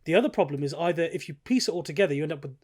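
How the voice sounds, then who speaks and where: Male podcast co-host, rapid delivery, somewhat high pitch, casual but analytical, carefully qualifying each argument. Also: animated, darkish tone. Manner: analytical, explains and qualifies his points. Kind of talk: casual gaming podcast discussion.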